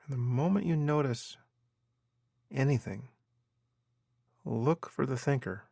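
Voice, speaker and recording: A man guiding a meditation as a teacher, giving measured instructions with unhurried pauses. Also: mid-range voice, creaky, darkish tone, dry recording, clean studio sound. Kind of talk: guided meditation narration.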